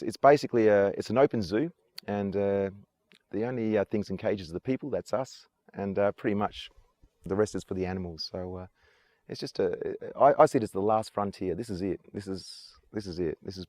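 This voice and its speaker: Fast-talking, low-voiced Australian man. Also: darkish tone, no room sound. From Adelaide, South Australia. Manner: enthusiastic and earnest, repeats his praise. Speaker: tour guide. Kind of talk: casual interview answers. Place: outdoors on an island.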